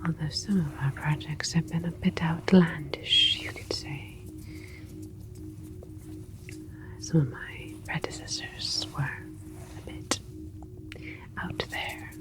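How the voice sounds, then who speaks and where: A woman playing a soothing, clinical alien scientist in an ASMR roleplay, whispering with slow, deliberate, precise wording. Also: deep voice, fairly even intonation. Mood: calm, clinical.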